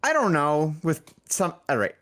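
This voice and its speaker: A man's voice: fairly high pitch, very animated, moderate pace, a crowd in the background. A man speaking as a game developer guest in a casual interview, rambling and often interrupting himself mid-sentence. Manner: rambling, self-interrupting, informal. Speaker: indie game developer and music lead, podcast guest.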